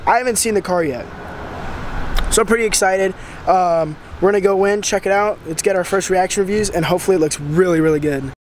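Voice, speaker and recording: A young man with a hoarse, raspy voice from a cold, excitedly rambling to a handheld camera as a vlogger, outdoors and in a shop. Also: high voice, brisk, a little hiss or hum. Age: young adult. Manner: excited, rambling, lots of fillers. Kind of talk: casual vlog talk to camera. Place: outdoors by a house and inside an auto upholstery shop. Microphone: handheld camera at arm's length.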